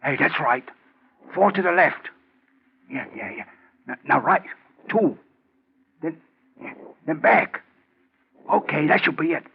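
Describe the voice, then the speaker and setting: An actor playing a rough, cocky crook's henchman in a 1940s radio crime drama, husky, gravelly, pushy and slangy. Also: a little high, animated, a little slow, pauses often, exaggerated voice. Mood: eager. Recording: muffled 1940s radio recording.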